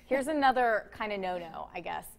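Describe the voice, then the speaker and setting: A woman's voice: mid-range voice, expressive, brisk, vocal fry. An American woman in her thirties co-hosting a talk show with casual banter, chattily hopping between half-finished thoughts. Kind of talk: casual co-host banter and topic reading. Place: TV studio.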